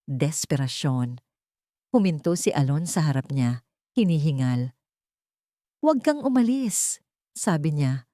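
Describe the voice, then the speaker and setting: A female narrator reads a scripted love story in the manner of an audiobook. Her voice sits low and is soft and dark in tone. The sound is dry, with very little room around it. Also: no room sound.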